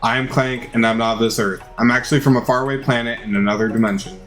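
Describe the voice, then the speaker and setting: Earnest alien robot game character voiced by a man, solemn and halting, music underneath. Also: medium pitch, fairly even intonation, fairly bright tone, natural voice. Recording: music behind the voice.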